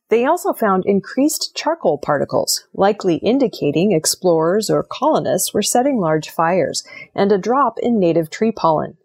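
A woman presenting scripted news narration in a measured, explanatory way. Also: medium pitch, a little mellow, husky.

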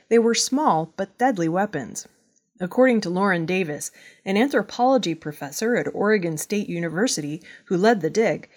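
A woman working as a news reader, narrating scripted news stories. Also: mid-range voice.

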